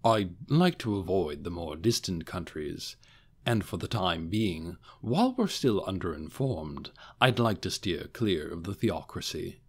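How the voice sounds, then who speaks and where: A cautious male overlord, voiced by a man narrating in a fantasy audiobook. He sounds measured but hesitant, awkwardly keeping up the air of a wise ruler. His pitch moves around freely. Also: lively intonation, breathy edge, exaggerated voice. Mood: deliberating.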